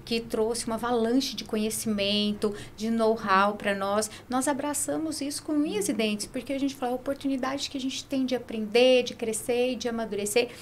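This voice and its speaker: Higher-pitched Brazilian woman, emphatic guest. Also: steady tone, moderate pace, few pauses, slight background noise. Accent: Brazilian Portuguese. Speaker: podcast guest, business owner. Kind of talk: conversational interview. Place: small podcast studio with curtains, indoors. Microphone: close studio mic on boom arm.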